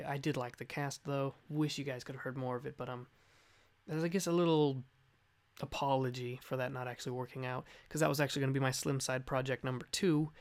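A man's voice: mid-range voice, creaky. A young man giving a casual, unscripted channel update, rambling a little in a hesitant, apologetic way. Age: young adult. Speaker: channel host and fandub director.

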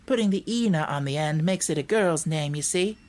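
A woman's voice: low-pitched, expressive, fairly quick, darkish tone. A woman voicing an earnest, outspoken young country girl in a storybook, speaking emphatically. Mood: earnest, friendly. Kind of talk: storybook character voice.

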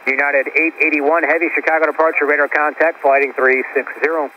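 A male departure controller with a somewhat high voice gives clipped instructions. He is heard over a dull radio with faint noise. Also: level tone, fast, bright, forceful tone. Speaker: departure air traffic controller.

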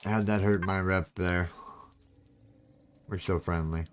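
A man's voice: low-pitched, steady tone, takes it slowly, slight background noise. A man hosting a gaming channel, chatting casually to viewers as he plays, with something clogging his throat. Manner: chatty, talks to viewers while playing. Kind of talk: casual gameplay commentary.

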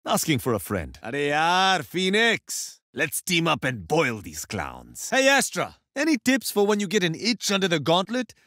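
An actor playing a confident, good-humored Indian soldier hero in a video game, with an Indian accent and a wry, expressive delivery. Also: male voice, a little high, moderate pace, hardly any pauses, no echo, studio quality, heavily acted. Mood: wry, playful. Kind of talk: game voice lines, short tactical callouts and quips.

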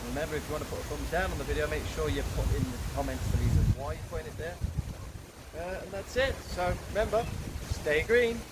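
A man talks casually to viewers as a vlogger. His voice sits a bit high for a man. The recording is outdoors on a camera mic, with heavy noise throughout. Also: fast. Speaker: vlog host. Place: outdoors on a riverbank. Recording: noisy outdoor camera audio.

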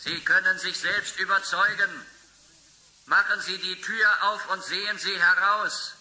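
An actor playing a police officer ordering a criminal to give up, calling from outside. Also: male voice, sits high, level tone, a little slow, sharp tone, full character voice. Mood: commanding. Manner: commanding, from a distance.

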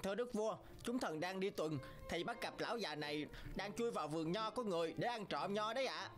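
A man as a high-voiced dutiful palace guard. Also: a little fast, a bit bright, soft background music. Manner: dutiful, formal. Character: deferential male palace guard reporting to his king. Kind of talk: cartoon fairy-tale voice acting.

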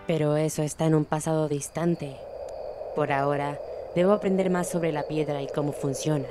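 A woman's voice: sits low, steady tone, moderate pace, natural voice. A woman with a Latin American Spanish accent voicing an ancient, patient alicorn princess in a cartoon comic fan dub, speaking formally and solemnly. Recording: music under the voice.

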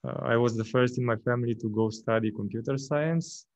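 Gravelly Romanian-accented man on a call. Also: medium pitch, a little fast, no echo. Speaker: product manager guest. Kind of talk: online panel talk. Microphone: video call. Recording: dull dry video-call audio.

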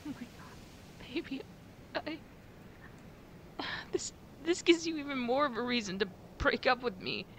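A woman playing a distraught, ashamed werewolf girlfriend in an ASMR roleplay, stammering and apologetic, with sighs breaking up her words. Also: heavily acted. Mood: self-loathing. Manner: halting, stammering, sighing. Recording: steady rumbling background noise.

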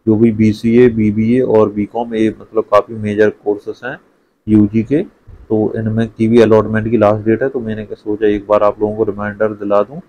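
Low flat-voiced young man, lo-fi. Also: moderate pace. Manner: chatty, repeats "theek hai" often. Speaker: education YouTuber. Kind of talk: casual screen-share walkthrough.

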